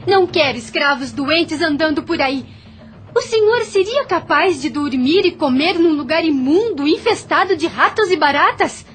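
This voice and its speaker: Mulher interpretando jovem sinhá determinada, voz bem aguda. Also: female voice, sits high, lively intonation, moderate pace. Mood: firm, defiant. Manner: earnest, commanding. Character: young compassionate, determined plantation mistress. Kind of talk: audio drama acting.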